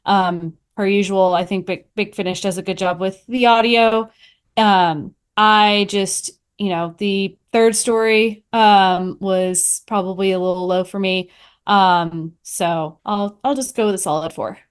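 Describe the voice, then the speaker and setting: Female podcast panelist, unhurried, smooth-voiced, hesitant casual reviewer. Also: mid-range voice, fairly even intonation.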